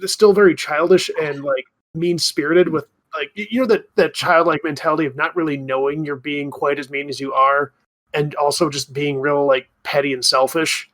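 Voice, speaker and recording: Casual man with a slightly high voice. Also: fairly even intonation, normal speed, fairly bright tone, poor audio. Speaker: podcast co-host. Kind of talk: casual chat.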